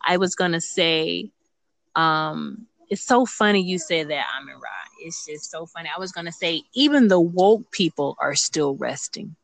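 Low-voiced woman, informal and emphatic. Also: lively intonation, normal speed, creaky, no echo. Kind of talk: casual spiritual discussion.

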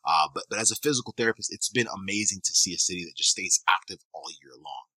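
Eager guy hosting a podcast, lowish voice, dead dry mic. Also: animated, quick pace, sharp tone, breathy edge, no echo, poor audio. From Kenya. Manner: enthusiastic, loose, many restarts and fillers. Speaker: podcast host, physical therapist. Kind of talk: casual podcast chat.

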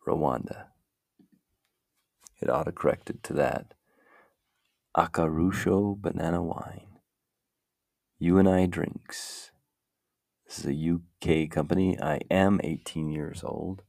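A man with a very deep, gravelly voice hosts a casual talk, rambling slowly and thinking aloud as he goes. The sound is dry and close. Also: a little mellow, no room sound. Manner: meandering, muses aloud while browsing. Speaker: podcast host.

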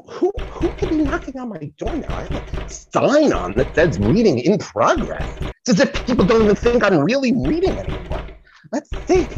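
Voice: medium pitch, noisy background. Scatterbrained celebrity psychic, played by an actor, theatrical and rambling, swooping intonation, slightly muffled lo-fi sound. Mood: flustered, exasperated. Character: scatterbrained, self-promoting psychic to the stars, easily distracted. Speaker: psychic guest on a podcast. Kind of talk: comic improvised audio sketch.

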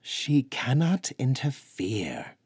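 A man's voice: low voice, sing-song, slow pace, a little mellow, breathy edge, no echo, studio quality, heavily acted. A man voicing a tall Sasquatch elder who speaks bluntly and definitively.

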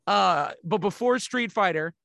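A man's voice: high voice, measured pace, creaky, pushed and bright, no room sound, clean studio sound. A man co-hosting a podcast, rambling through casual movie chat with lots of fillers and some swearing. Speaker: podcast co-host.

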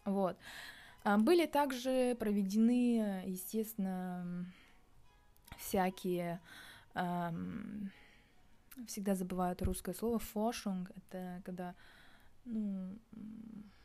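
Young woman, mellow voice, casual vlog musing, halting and searching for words. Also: mid-range voice, fairly even intonation, faint music behind. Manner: thinking aloud, hesitant with many pauses. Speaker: vlogger. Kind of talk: casual reflective monologue.

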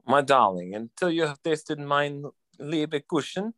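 Radio comedy sidekick: German accent, slippery and flirtatious, animated melody, dry close recording. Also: male voice, on the high side, a little slow, no echo. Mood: suave, flirtatious. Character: suave, flirtatious, evasive German-sounding male sidekick. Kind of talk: old-time radio comedy acting.

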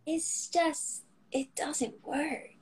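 A teenage girl speaks as a guest in a casual chat. She often pauses and stops to restart, and she talks herself down. Her voice is slightly high. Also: fairly even intonation, a little mellow. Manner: hesitant, self-deprecating.

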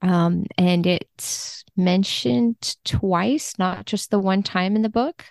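A woman's voice: mid-range voice, slow pace, creaky, darkish tone, no echo. Woman chatting casually, dry close sound. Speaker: podcast guest, book translator. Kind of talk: casual conversation.

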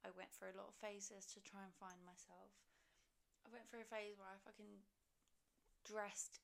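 Young woman in her twenties, vlogger, frank and sweary, slight vocal fry, mid pitch. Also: level tone, moderate pace. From Poland. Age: 20s. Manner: candid, swears casually, confiding. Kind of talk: casual Q&A vlog chat. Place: her own home.

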